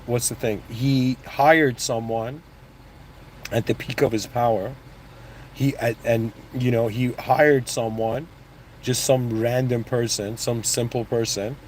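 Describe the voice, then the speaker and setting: A man's voice: mid-range voice, little pitch movement, slow, a little mellow, slight background noise, poor audio. Rambling man giving a motivational talk. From born in Iran, raised in Canada. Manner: rambling, with restarts and "you know what I mean". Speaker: motivational speaker.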